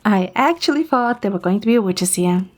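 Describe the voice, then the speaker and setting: A woman's voice: mid-range voice, normal speed, lightly acted. A woman acting a playful, chatty, curious young woman who teases her friend, in an amateur audio drama. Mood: bright, curious. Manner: teasing, animated, expressive.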